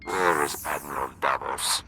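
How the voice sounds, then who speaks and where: Forceful, haughty pirate chief. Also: male voice, a bass voice, measured pace, creaky, a little hiss or hum, heavily acted. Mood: disdainful.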